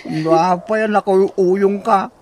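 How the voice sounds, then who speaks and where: A woman's voice: medium pitch, steady tone, slow pace, darkish tone, slight background noise, close to an everyday voice. A woman playing a reproachful, grudging elderly parent in an Ilocano radio drama, with an Ilocano accent. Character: reproachful, slow-speaking elderly parent, finally relenting.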